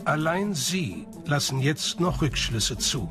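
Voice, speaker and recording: High-voiced male documentary narrator over music. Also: very animated, takes it slowly. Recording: music behind the voice.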